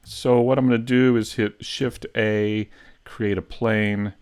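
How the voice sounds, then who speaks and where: A man giving a casual live tutorial, thinking aloud in an unhurried, hesitant way as he explains each step. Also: on the low side, fairly even intonation, a little mellow, clean tone. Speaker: 3D modeling instructor, live stream host.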